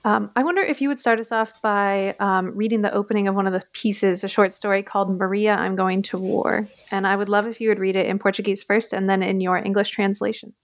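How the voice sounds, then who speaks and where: A woman's voice: mid-range voice, fairly even intonation. A woman, a magazine's managing editor, interviewing a guest in a conversational way. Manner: conversational, quick and level.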